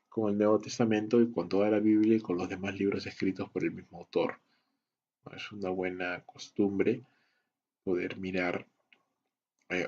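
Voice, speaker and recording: A man teaching a Bible study lesson, in a measured, deliberate style that moves through lists and rhetorical questions. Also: deep voice, darkish tone, husky, dull audio. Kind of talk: slow, deliberate teaching lecture.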